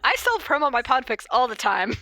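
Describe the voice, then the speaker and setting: Woman, informal podcast reader and host. Bright, forward voice, dry close sound, giggly, slips easily between story and asides. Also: high-pitched, sing-song, brisk, no echo. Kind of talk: casual cold reading of fan fiction and chat. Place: corner of a basement at home.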